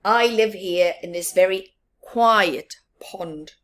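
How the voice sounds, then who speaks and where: A woman voicing a friendly, obliging frog in a children's storybook, with croaking interjections. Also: mid-range voice, slow pace, a bit bright. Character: friendly frog, obliging, ribbiting between phrases.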